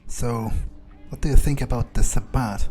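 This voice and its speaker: A man roleplaying a self-assured, inquisitive fledgling vampire in a game playthrough, speaking in a halting, musing way. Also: mid-range voice, fairly even intonation, a little mellow, faint music behind, close to an everyday voice. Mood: curious. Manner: halting, musing, hesitant pauses. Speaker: gameplay video player. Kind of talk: in-character roleplay commentary.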